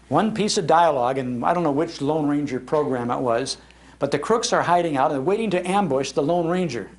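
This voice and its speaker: An older American man with a Midwestern accent tells nostalgic stories to a live audience in a folksy, animated manner, repeating phrases for emphasis. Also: fairly high pitch. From Chicago, United States. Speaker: speaker giving a talk on old-time radio. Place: public library, live talk.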